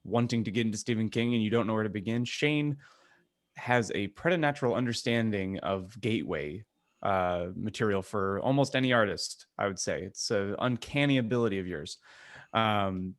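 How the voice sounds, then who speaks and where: Male podcast co-host, lowish voice, dry close sound, informal conversational delivery. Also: normal speed, dry recording. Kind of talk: casual book-club podcast chat.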